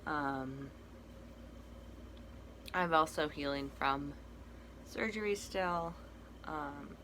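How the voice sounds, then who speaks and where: A young man with a cracky, breaking voice, giving a casual personal update in a halting, soft-spoken way. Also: fairly high pitch, rough recording. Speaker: vlogger. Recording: noticeable background noise.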